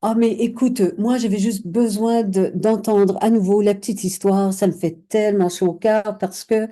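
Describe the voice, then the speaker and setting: A woman hosts a casual interview in a very dark, mellow voice. She frames her questions loosely and hesitantly, with many fillers as she goes. Her tone is friendly and unhurried. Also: medium pitch, fairly even intonation. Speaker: interviewer, host.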